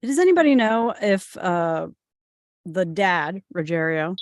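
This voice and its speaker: Lowish woman's voice, dry close sound. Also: lively intonation, measured pace, no echo. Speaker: table read participant. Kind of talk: casual group chat.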